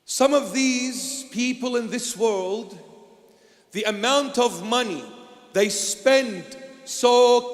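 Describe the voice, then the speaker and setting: A bishop from Iraq, preaching a sermon dramatically, swinging from loud exclamations to hushed lines. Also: male voice, sits high, long reverb tail.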